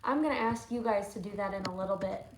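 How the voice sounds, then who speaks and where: A woman's voice: medium pitch, quick pace. A woman teacher reading a storybook aloud to children, with thoughtful questions and asides. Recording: echoey room with faint noise.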